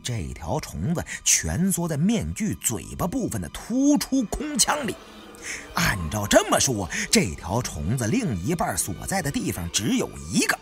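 A man's voice: fairly high pitch, huge pitch swings, a little slow, faint music behind. A man narrating a suspenseful story in a theatrical, dramatic audiobook style.

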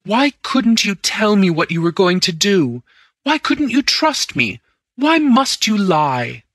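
A man reading an audiobook, voicing an agitated young gentleman who is easily shocked and bursts out in high, breathless cries. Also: big pitch swings, moderate pace, breathy edge, no room sound, studio quality, exaggerated voice. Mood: indignant. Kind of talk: audiobook character voice.

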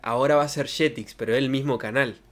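A man's voice: mid-range voice, fairly even intonation, brisk. Young Argentine man chatting casually on stream. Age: young adult. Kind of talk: casual livestream chat. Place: small bedroom streaming setup. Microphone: desk microphone with pop filter, close.

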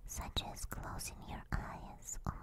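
A woman doing ASMR guided relaxation in a soft, breathy, gentle and reassuring whisper, close to a binaural microphone. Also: takes it slowly. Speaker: ASMR streamer.

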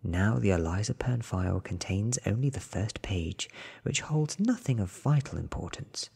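A man in his thirties softly reading a story ASMR style in a breathy whisper, close to the microphone. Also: lowish voice, lively intonation, normal speed. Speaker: ASMR book reader. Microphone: close studio microphone.

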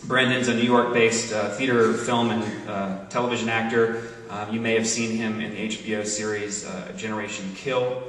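A man presenting a cast to an audience in a halting way, with frequent pauses. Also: lowish voice, steady tone, fairly bright tone. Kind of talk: spoken introductions to an audience. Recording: echoey hall, distant microphone.

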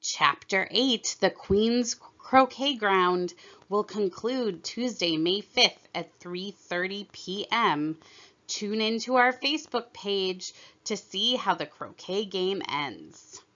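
Slow, bright-voiced woman reading aloud. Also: medium pitch, expressive, lo-fi sound. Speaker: storyteller reading aloud for a museum. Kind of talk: scripted children's story narration.

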